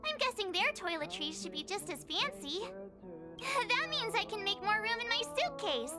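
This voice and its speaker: A woman voicing a bubbly, excitable young girl traveller in a video game, perky and expressive as she muses aloud over music. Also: very high-pitched, normal speed. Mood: cheerful musing. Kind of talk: video game character acting.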